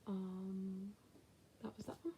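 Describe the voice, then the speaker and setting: A woman's voice: mid-range voice, steady tone, takes it slowly, dark tone, a little hiss or hum. A woman in her thirties with a West Midlands accent, chatting casually to camera as she answers viewer questions, blunt and with whispered asides. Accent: West Midlands English. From England.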